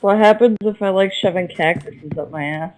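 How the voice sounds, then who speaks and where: A chat participant who keeps cutting in with crude, deadpan trolling lines in a casual voice chat. The voice sits mid-range and the delivery stays flat and unbothered. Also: medium pitch, normal speed, a little hiss or hum, rough recording.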